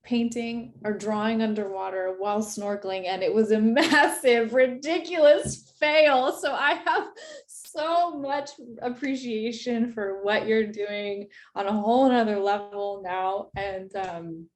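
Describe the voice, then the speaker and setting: A woman's voice: medium pitch, a little slow. A woman hosting an interview, effusive and prone to repeating herself. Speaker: interview host, art school founder. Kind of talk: casual interview.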